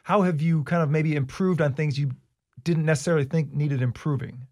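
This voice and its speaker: A man's voice: on the high side, fairly even intonation, fairly quick, a little mellow, no echo, clean studio sound. A man in his forties co-hosting a podcast, chatty and joking. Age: 40s. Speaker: podcast co-host. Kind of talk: casual chat.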